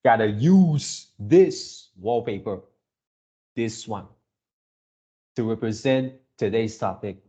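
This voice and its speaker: A man hosting a casual fan reaction video, gushing excitably in halting, drawn-out phrases. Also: lowish voice, slightly rough.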